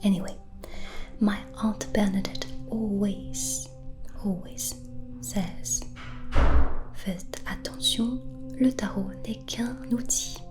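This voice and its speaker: Slow whispering gentle witch, played by a woman. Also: medium pitch, close to an everyday voice. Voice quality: soft, breathy whisper. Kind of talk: soft-spoken whispered ASMR roleplay.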